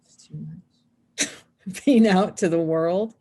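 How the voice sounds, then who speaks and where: A woman's voice: on the low side, slow pace, warm tone, slightly rough. A woman reading the part of a guarded, anxious but wry teenage snowboarder girl at a screenplay table read. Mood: overwhelmed, pushing back.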